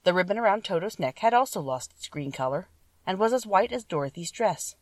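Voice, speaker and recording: A woman's voice: medium pitch, animated, a little fast, no echo, far from the mic. A woman reading a children's story aloud as a scripted audiobook narration.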